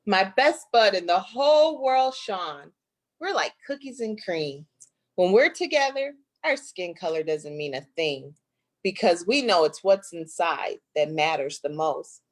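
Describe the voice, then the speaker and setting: Slow-paced woman reading a picture book aloud. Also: fairly low pitch, expressive. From Flint, United States. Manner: deliberate, playful storytelling. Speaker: guest author reading her own book. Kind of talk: expressive children's picture book read-aloud.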